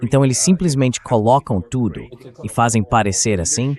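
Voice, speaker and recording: A man's voice: medium pitch, a little fast, a little mellow. A man's synthetic voice dubbing the host of a live discussion in translation. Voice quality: synthetic dubbed voice. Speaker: interview host.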